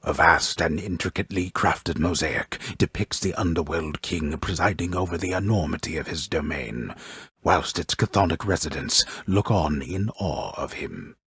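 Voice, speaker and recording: An actor playing a wry, grandiose old storyteller in a video game, narrating Greek myth gravely and ornately with dry mockery, his voice gravelly. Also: normal speed, a bit bright, no echo, full character voice. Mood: grandiose, dryly mocking. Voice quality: very deep, gravelly, husky voice. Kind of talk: theatrical scripted game narration.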